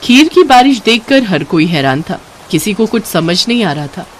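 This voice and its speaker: Woman, story narrator, medium pitch, very expressive intonation, scripted children's moral tale. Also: moderate pace, slight background noise.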